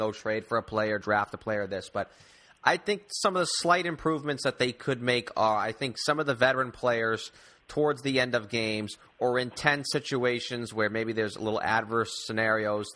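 A man's voice: mid-range voice, bright, forceful tone. A man hosting a casual sports discussion in a conversational way. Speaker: sports podcast host.

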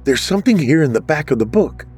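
Man voicing a pitched-up, desperate ghost woman. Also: big pitch swings, fast, darkish tone, slightly rough, soft background music. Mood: amazed, urgent. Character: upset dead young woman, a desperate, excitable ghost.

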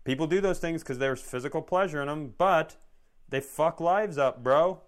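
A man's voice: a little high, moderate pace. A middle-aged American man chatting casually and comedically as a co-host, riffing and checking what he has heard.

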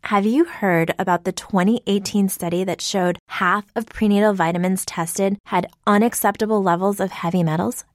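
A woman's voice: medium pitch, steady tone, normal speed, few pauses, no room sound, studio quality. A woman reading a scripted ad as a company founder, conversational and earnest. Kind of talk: scripted ad narration.

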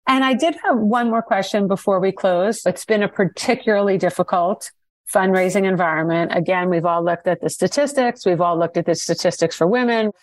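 A woman's voice: mid-range voice, a little fast, no echo. Direct woman co-host, dry sound. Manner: direct, businesslike questioning. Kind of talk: interview questions.

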